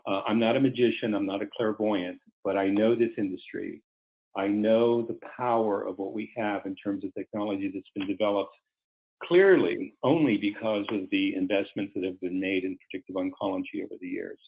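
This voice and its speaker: A middle-aged or older man, a company executive, speaking earnestly and deliberately to investors on a conference call, formal but halting, often repeating words. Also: lowish voice, fairly even intonation. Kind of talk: semi-scripted remarks to investors on a conference call. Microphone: conference call line. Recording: dull, lo-fi conference call audio.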